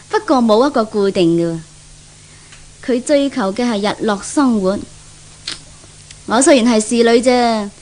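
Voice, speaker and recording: A woman plays a frank, gossipy maid in a 1970s radio play. She speaks in a high voice with a Hong Kong Cantonese accent, grumbling like a long-suffering servant. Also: natural voice. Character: outspoken, gossipy maidservant who grumbles about her mistress.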